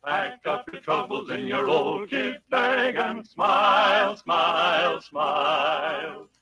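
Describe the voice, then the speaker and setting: A man sings in an old 1940s radio style. His voice is very high and the words are stretched out slowly over prominent music. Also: fairly even intonation, low-quality recording. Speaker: radio show singer.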